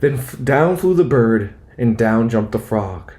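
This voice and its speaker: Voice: medium pitch, animated, unhurried, mellow. A storyteller reading a children's picture book aloud with theatrical, rhythmic rhyming delivery. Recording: roomy, echoing voice.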